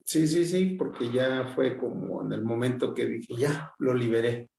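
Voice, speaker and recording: A man's voice: mid-range voice, a little slow, creaky, dark tone, recorded from a distance. A man in his sixties, a teacher, earnestly sharing what he felt in a workshop over a video call. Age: 60s. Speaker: teacher taking part in a workshop. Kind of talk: sharing personal experience. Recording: roomy video call audio.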